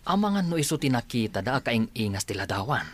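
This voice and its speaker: A man's voice: mid-range voice, very animated, a little fast. An actor playing a sardonic male accomplice in an Ilocano radio drama. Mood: dry, conniving. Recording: studio drama over clear background music.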